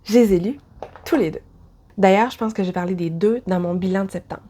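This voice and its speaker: A woman with a Québécois French accent reviews books to camera, her voice stuffy from a head cold. Her timbre is soft and dark, and she talks in a chatty way with self-deprecating asides. She sounds like she is recording at home. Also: medium pitch, a little fast. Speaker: book vlogger. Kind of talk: casual book review vlog.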